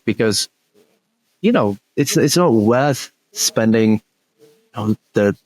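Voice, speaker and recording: A man's voice: lowish voice, no echo, low-quality recording. A man whose first language is Polish, hosting an interview in casual chat and often repeating words as he thinks. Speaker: podcast host.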